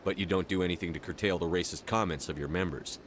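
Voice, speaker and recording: An actor playing a blunt, no-nonsense military commander in a science fiction game, terse and direct. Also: male voice, low-pitched, fast, fairly bright tone, slight background noise. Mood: firm, questioning. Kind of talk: video game dialogue acting.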